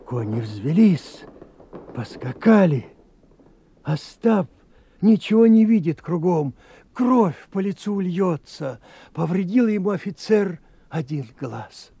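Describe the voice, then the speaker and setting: An old grandfather who spins a folk tale in a radio play. His voice is gravelly and mellow, with a slightly raised pitch. He tells it slowly and in a sing-song way. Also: very animated, heavily acted. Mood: storytelling. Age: older. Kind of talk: 1980s radio play storytelling.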